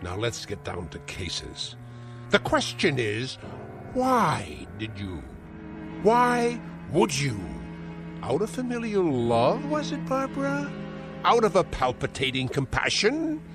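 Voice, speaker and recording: An actor playing a spiteful, cruel old uncle who hurls theatrical insults, in a radio-style drama. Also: male voice, a little high, huge pitch swings, slow, heavily acted. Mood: scornful. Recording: music score behind.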